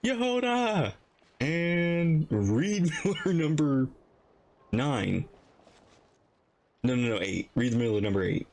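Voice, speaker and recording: A man hosting a game reaction, commenting in an excited, exclamatory way and often repeating himself. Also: fairly high pitch, slow pace, pauses often, slight background noise. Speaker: reaction video host.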